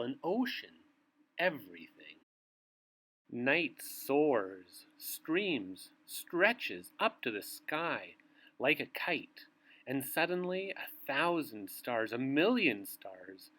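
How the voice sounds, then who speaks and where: A man reading a picture book aloud to young children, with theatrical, drawn-out phrasing and asides to his listeners. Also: mid-range voice, fairly bright tone, rough recording.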